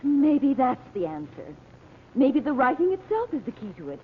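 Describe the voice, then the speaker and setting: Radio-serial heroine: worried, determined mother, urgent, very animated delivery, tinny old recording. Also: high-pitched, normal speed, a little hiss or hum. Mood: eager, anxious. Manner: urgent, emotional, questioning. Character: anxious, determined American mother on an adventure.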